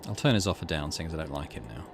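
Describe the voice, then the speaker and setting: A man's voice: rumbling low, lively intonation, rapid-fire, gravelly, noisy background. A man giving casual let's play commentary, musing and thinking aloud in questions. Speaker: gaming video host.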